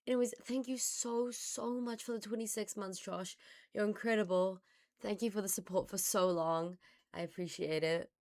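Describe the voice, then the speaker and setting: A woman streamer talks casually to her live chat in a hoarse, slightly gravelly voice that is still recovering. Her pitch sits in a middle range. She greets viewers one by one in a chatty way. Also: steady tone, normal speed, a little mellow.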